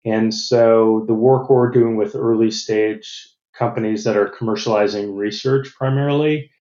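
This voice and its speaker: American man, measured, in an echoey room. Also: lowish voice, steady tone, dark tone, highs cut off. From United States. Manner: measured, businesslike, with frequent restarts. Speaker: venture capital partner. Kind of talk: interview answers.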